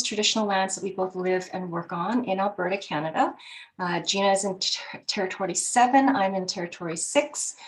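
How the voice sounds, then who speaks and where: A woman professor opens a presentation over a video call. Her voice sits at a middle pitch, and she speaks in a welcoming, measured way. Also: rough recording. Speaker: professor and psychologist. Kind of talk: virtual presentation, introductions.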